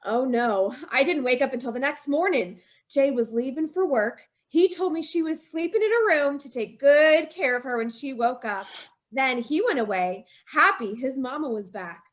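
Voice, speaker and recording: A woman's voice: high voice, lively intonation, normal speed. A woman acting a distraught, secretive wife in an old-time radio horror play, telling her tale with dramatic, emphatic repetition. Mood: haunted, bitter.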